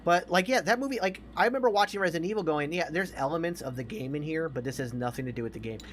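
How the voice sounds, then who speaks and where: A man's voice: on the high side, very fast, a bit bright, slight background noise. A man in his forties chatting casually as a podcast co-host, opinionated and emphatic, repeating himself to make a point. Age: 40s. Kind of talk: casual chat about movies.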